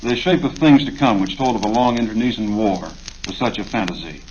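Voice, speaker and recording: A man moderating a radio interview in a formal, deliberate manner, on an old recording. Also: on the low side, expressive, a little mellow, lo-fi sound, slight background noise.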